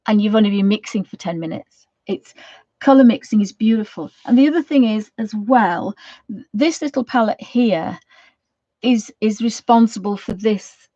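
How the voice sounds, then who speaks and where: Woman, croaky from a cold, rambling painting chat, dry close sound, slightly dull. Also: medium pitch, normal speed, mellow, dry recording. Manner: chatty and rambling, many ums. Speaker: art channel host. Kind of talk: casual painting tutorial. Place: home studio.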